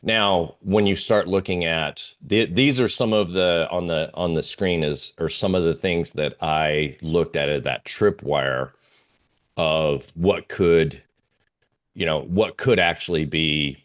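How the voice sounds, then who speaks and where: A man giving unscripted analysis as an interview guest, talking through slides in a halting, rambling way with pauses and repeated phrases. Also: sits low. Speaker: interview guest, geopolitical oil trading analyst.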